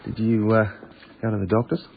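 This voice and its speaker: An actor playing a breezy, cheeky Australian tunnel worker in a naturalistic radio drama, with a wry, blunt way of talking. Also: male voice, fairly low pitch, flat delivery, a little fast, vocal fry. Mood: wry, chatty. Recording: tinny band-limited radio broadcast, audible noise.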